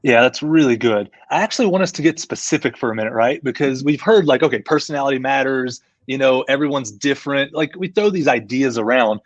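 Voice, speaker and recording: A man's voice: mid-range voice, a little fast, hardly any pauses, dry recording. Brief: male CEO host, conversational, dry lo-fi mic. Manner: conversational, asks questions, walks through a screen share. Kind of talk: business live-stream discussion.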